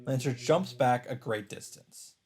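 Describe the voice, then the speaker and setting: A man's voice: medium pitch, measured pace, darkish tone, husky. A man reading visual novel narration aloud dramatically as a let's player. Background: plucked-string game music.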